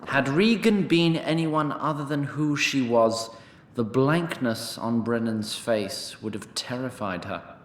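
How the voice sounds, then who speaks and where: A man narrating a scripted fantasy story in a measured, formal storytelling style. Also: medium pitch, roomy sound, a little hiss or hum. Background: music.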